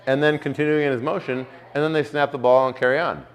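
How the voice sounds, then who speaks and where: A man's voice: medium pitch, fairly even intonation, fast talker. Laid-back man in his forties breaking down plays. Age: 40s. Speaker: podcast co-host, former football player. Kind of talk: casual sports chat.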